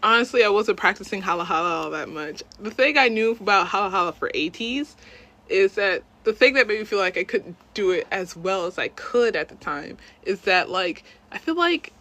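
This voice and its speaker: A woman's voice: medium pitch, animated, moderate pace, bright, forceful tone. A young woman, a self-taught cover singer and dancer, chatting casually on a livestream in a rambling, self-deprecating way.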